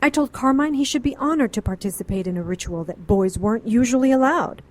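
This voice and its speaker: A woman's voice: a little high, expressive, fast talker, darkish tone, lightly acted. A woman playing a composed, defensive witch who frankly narrates her past in an audio drama. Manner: frank, self-justifying.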